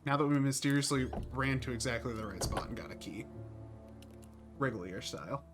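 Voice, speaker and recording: A man's voice: medium pitch, brisk, over music. A man giving casual, ironic live commentary as he streams a game. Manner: jokey, ironic.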